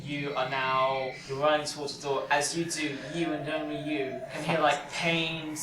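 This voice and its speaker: A man's voice: fairly high pitch, level tone, normal speed. A man in his twenties with a British accent, improvising narration as dungeon master of a tabletop game. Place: domestic dining room, indoors. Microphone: overhead boom microphone over the table. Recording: echoey room, clear background noise.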